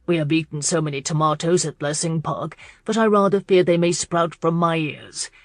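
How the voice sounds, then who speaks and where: A woman's voice: low voice, moderate pace, no room sound, clean studio sound, lightly acted. A woman voicing a charming male marquis, gallant, formal and playful, in an audiobook romance. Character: charming, genial tall aristocratic husband, a marquis.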